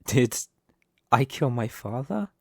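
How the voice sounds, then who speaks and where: A man playing a possessive, scheming young mafia boss in an ASMR roleplay, speaking in a deliberate, condescending, theatrical way. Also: medium pitch, lively intonation, gravelly, darkish tone, no room sound, studio quality, exaggerated voice. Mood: cold, ambitious.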